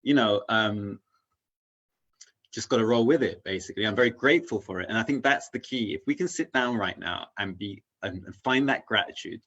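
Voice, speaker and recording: The recording is a little muffled and lo-fi. A man with a lowish voice talks as a guest in a relaxed conversation. He is earnest and philosophical, rambling through his thoughts and checking that he is followed. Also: fairly quick. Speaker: interview guest. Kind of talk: casual reflective conversation.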